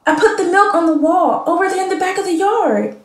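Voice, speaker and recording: A woman's voice: extremely high pitch, a little fast. A woman acting in a radio play as an emotional, remorseful townswoman. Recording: roomy recording with music behind.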